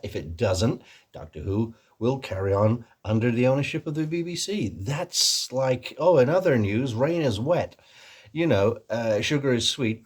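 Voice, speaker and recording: A man's voice: medium pitch, husky. A man chatting casually as a video host in a put-on American accent, rambling and joking at his own expense. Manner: rambling, jokey, self-deprecating. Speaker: host of a Doctor Who fan video channel. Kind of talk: casual comic vlog chat.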